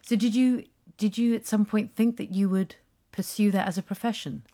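A woman's voice: mid-range voice, steady tone, normal speed, mellow, no echo, studio quality. A woman hosting a radio interview, asking questions and often repeating her words. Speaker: radio host. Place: radio studio. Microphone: studio microphone.

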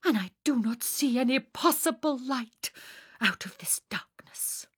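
A woman's voice: sits high, lively intonation, measured pace, fairly bright tone, breathy edge, no echo, studio quality, pitched above the performer's own voice, exaggerated voice. A woman playing a theatrical, self-pitying young woman with grand artistic airs in a dramatised literary story reading. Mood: brooding, dramatic. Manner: theatrical, swooning, emphatic delivery.

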